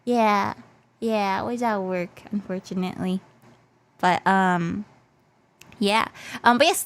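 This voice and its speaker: Casting: female livestreamer, casual and playful, hesitant and repetitive, stuffy nose, voice slightly worn out. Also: mid-range voice. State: slightly losing her voice. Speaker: VTuber livestreamer. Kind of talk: casual livestream chat in English mixed with Japanese.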